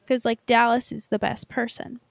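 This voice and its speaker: A woman's voice: on the high side, flat delivery, normal speed, gravelly, dry recording. A woman chatting casually as a podcast co-host, in informal speech peppered with "like". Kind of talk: casual fan podcast chat.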